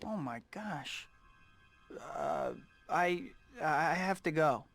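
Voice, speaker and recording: A young American superhero in a game cutscene, his voice a little high and boyish. He quips at his own expense and sounds earnest and easily flustered. Music plays clearly behind him. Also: lively intonation, drawn-out words, heavily acted. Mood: flustered apology. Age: young adult. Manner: boyish, self-mocking quipping. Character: wisecracking, well-meaning, flustered young male superhero. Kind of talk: video game cutscene acting.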